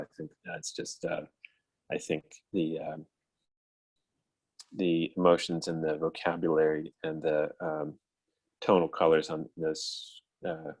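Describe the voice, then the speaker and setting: Very deep-voiced American man, reflective. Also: slightly rough, no room sound. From United States. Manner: reflective, halting. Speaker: guest violist. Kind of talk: thoughtful interview answers. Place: indoors at home, on a video call.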